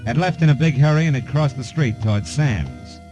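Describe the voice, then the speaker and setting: An actor playing a wry, cool American private detective, clipped and deadpan, in a 1950s noir radio drama. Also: male voice, mid-range voice, fairly quick, muffled recording, music in the background, natural voice. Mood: grim narration. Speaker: lead detective and narrator.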